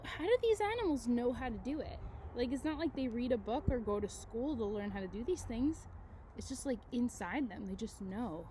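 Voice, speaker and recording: Mellow-voiced woman teacher reading to children. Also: a little high, slight background noise. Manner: casual, conversational, engaging listeners with questions. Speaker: elementary school teacher. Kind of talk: children's read-aloud with chatty asides.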